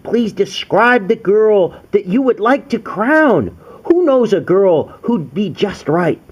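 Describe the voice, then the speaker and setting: A man voicing gentle, gracious, excited female fairies from a storybook, in sing-song rhyme. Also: high-pitched, slow, husky, exaggerated voice. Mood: proclaiming.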